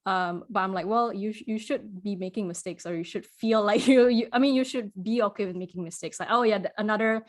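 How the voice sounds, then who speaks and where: A woman who grew up in Singapore speaks as a podcast guest in casual conversation. She works through her ideas aloud, stopping and restarting often. Small laughs run through her talk. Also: mid-range voice. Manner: thoughtful, halting, many restarts and fillers, laughs often.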